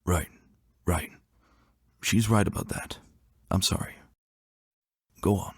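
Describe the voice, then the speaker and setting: A man voicing a wounded soldier pony father, patient, weary and gentle, in a dramatic story reading. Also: sits low, steady tone, slow, husky, no echo, studio quality, heavily acted. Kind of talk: dramatic fan-fiction reading.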